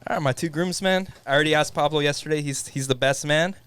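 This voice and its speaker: A man's voice: a little high, fast talker, a bit bright, a little hiss or hum. A man hosting a casual podcast with friends, laughing and swearing, getting emotional. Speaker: podcast host. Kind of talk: casual chat among friends.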